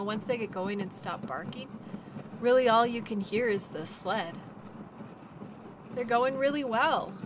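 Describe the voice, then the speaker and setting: A woman acts out a cheerful female dog-sled guide in an audio roleplay. Her voice sits fairly high and she takes her time, sounding gentle, chatty and reassuring toward her passenger. Clear outdoor sled noise runs behind her. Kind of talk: audio roleplay, naturalistic second-person acting.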